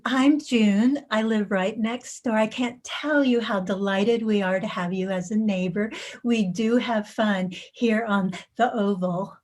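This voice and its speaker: A woman's voice: mid-range voice, fairly even intonation, measured pace, darkish tone, natural voice. A woman playing an eager, helpful next-door housewife in a staged play reading, speaking with eager politeness. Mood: eager, welcoming.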